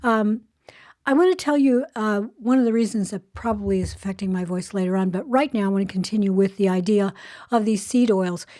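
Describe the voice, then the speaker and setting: An older woman with a soft voice hosting a casual spoken segment, chatting with frequent fillers and restarts. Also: mid-range voice, fairly quick. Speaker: show host. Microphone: earbuds headset.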